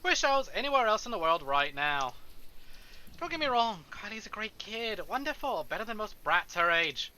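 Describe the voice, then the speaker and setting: A man's voice: sits high, big pitch swings, moderate pace, bright, forceful tone, slight background noise, low-quality recording. A man reading visual novel narration on a livestream, expressively and theatrically, with casual asides. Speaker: streamer.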